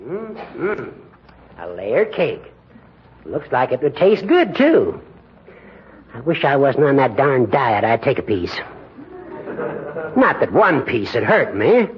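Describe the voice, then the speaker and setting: A man's voice: medium pitch, wildly swooping pitch, slow, long pauses. A man acting a pompous, nosy older judge in a 1940s radio comedy. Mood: nosy, tempted. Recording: muffled, noisy old radio recording.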